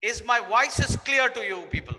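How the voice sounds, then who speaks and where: A man's voice: very high-pitched, normal speed, sharp tone, in a large hall. A man with an Indian English accent, teaching a botany lesson online, repeating his points and questioning students, sometimes switching into Hindi.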